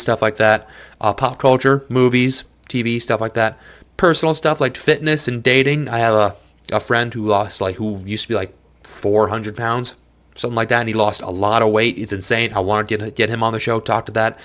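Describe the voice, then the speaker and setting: A man's voice: on the low side, steady tone, fairly quick, a bit bright. A young man hosting a casual solo podcast from a small apartment, rambling and self-deprecating, with a nose stuffed up from allergies. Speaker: podcast host.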